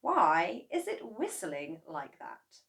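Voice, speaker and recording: A woman voicing a fretful, nagging farmer's wife with a shrill, whiny tone in a storybook reading. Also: huge pitch swings, slow, creaky, a bit bright, heavily acted. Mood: doubtful.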